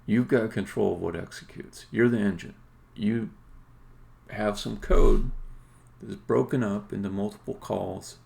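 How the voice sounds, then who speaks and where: Middle-aged male developer-streamer, heavy vocal fry, unhurried pace, casual but opinionated delivery with emphatic stresses. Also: on the low side, darkish tone. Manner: rambling, opinionated, halting then emphatic. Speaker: indie game developer, streamer. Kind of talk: casual live-coding commentary.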